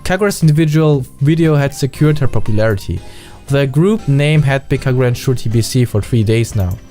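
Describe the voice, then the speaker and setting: A German-accented man reads a game's story aloud at an ordinary pitch. His delivery is careful and now and then halting, as a second-language speaker. Faint music runs underneath. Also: expressive, mellow. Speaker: game streamer reading a visual novel. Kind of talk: reading a visual novel aloud with asides.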